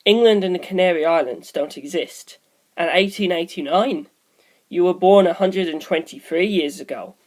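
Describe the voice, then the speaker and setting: A woman voicing a candid, probing man in an audiobook, with a deliberate, matter-of-fact delivery. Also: fairly low pitch, darkish tone, close to an everyday voice. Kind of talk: audiobook character dialogue.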